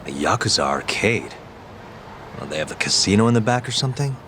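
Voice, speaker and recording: An actor playing a dogged, wry private detective in a video game, with an understated, dry delivery. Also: male voice, fairly low pitch, big pitch swings, brisk, noisy background. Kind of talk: video game drama acting.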